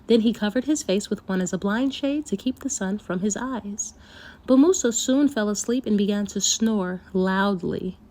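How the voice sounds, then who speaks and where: A woman storyteller reading a folktale aloud for children, with expressive, deliberate phrasing. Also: mid-range voice.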